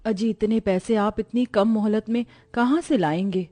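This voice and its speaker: A woman voicing a devout, gentle young daughter-in-law, patient and steady, in an animated moral story. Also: mid-range voice, moderate pace, natural voice. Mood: worried, resolute.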